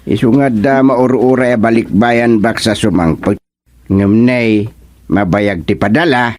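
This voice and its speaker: A man's voice: on the low side, unhurried, a little mellow, close to an everyday voice. A man with an Ilocano accent playing a chatty, folksy village neighbour in a radio comedy drama.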